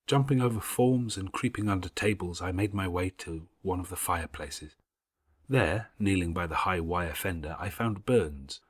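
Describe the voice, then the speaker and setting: A man's voice: sits low, a bit bright, slightly rough. A man reading scripted literary narration for an audiobook, with dramatic, careful phrasing.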